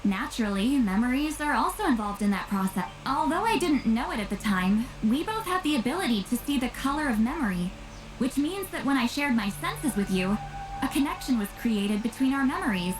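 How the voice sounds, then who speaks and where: A woman's voice: a little high, expressive, a little fast, natural voice. A woman voicing an aloof, reclusive, youthful but centuries-old shaman in a video game, dry, reserved and wistful. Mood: explaining. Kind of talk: scripted video game voice acting. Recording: roomy echo, game music behind.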